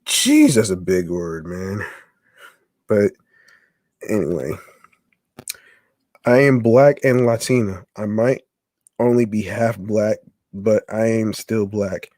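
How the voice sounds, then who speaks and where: A man hosting a podcast, reading a text aloud haltingly, stumbling and restarting on words. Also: on the low side, lively intonation, darkish tone, no room sound. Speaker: podcast host.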